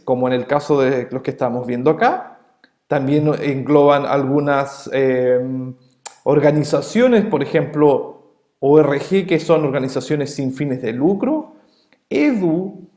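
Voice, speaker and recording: A man's voice: a little high, a little mellow. Chilean man teaching, echoey room. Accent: Chilean Spanish. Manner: explanatory, repeats key terms, asks "¿bien?". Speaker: networking instructor. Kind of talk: recorded online lesson. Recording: roomy echo.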